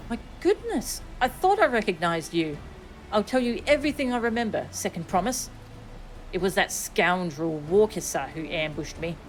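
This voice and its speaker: A woman's voice: medium pitch, sing-song, moderate pace, slight background noise, close to an everyday voice, low-quality recording. A woman voicing an eager, talkative merchant from a fantasy game, with a dramatic, salesy manner.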